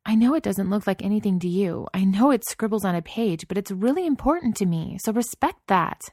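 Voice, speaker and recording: A woman's voice: medium pitch, normal speed, a little mellow, no room sound, studio quality, voice pushed up, close to an everyday voice. A woman voicing a frustrated young woman artist who speaks in an impassioned, raised voice.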